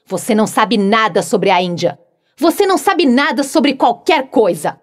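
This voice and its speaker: A woman's voice: on the high side, animated, moderate pace. A woman narrator voicing a spoiled, imperious young girl who speaks arrogantly and indignantly. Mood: furious.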